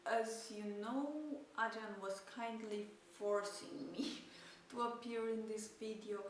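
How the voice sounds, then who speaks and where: A woman's voice: fairly high pitch, flat delivery, slow pace, a little hiss or hum. A shy woman from Romania, whose first language is Romanian, speaking English with a Romanian accent and laughing nervously between phrases. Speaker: co-host asking questions. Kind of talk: shy casual talk to camera. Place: at home. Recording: very echoey room, distant mic, loud music. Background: music.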